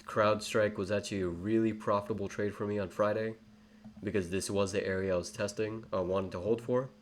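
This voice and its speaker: A man's voice: lowish voice, fairly even intonation, brisk. A young man with an English accent, rambling through a live trading tutorial with many fillers and self-corrections. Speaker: trading educator. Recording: faint background noise.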